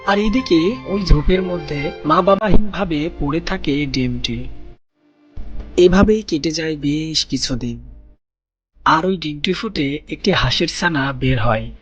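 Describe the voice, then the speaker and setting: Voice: medium pitch, measured pace, highs cut off. A narrator reading a scripted children's animal story for a cartoon. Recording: music bed behind narration.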